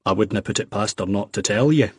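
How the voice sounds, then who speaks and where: A man's voice: on the low side, fast, breathy edge, no echo. A man voicing a wary young Scottish man who speaks bluntly and carefully, with a Scottish accent. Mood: wary probing. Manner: careful, dry, politely probing.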